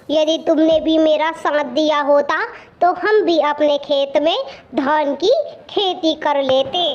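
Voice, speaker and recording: Woman playing bossy cartoon crow wife, high voice, scolding, complaining, slightly muffled. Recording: muffled with faint background noise.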